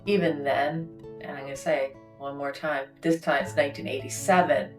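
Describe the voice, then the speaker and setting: Low-voiced woman from Canada, candid conversational host. Faint guitar underneath. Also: steady tone, normal speed. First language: English. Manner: candid, storytelling, conversational asides. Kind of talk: casual conversation. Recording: faint guitar music behind.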